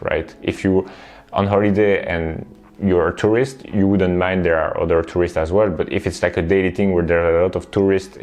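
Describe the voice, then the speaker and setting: A man with a deep, low voice, chatting in a relaxed way about his life abroad. He talks loosely, with lots of fillers and quick "right?" checks to his viewers. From Belgium. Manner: relaxed, chatty, many fillers and tag questions. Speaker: vlogger and online coach. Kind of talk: casual talk to camera.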